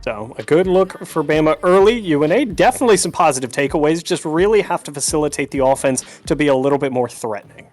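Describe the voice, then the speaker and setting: Higher-voiced male esports play-by-play caster. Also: animated, quick pace, hardly any pauses, darkish tone, faint music behind. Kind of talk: live play-by-play sports commentary of a video game match.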